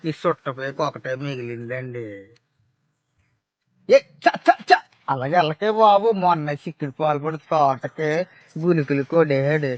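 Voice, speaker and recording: A man, playing a naive villager in a scripted phone comedy. He rambles and breaks into sudden loud outbursts. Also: on the high side, measured pace, dry recording, muffled recording.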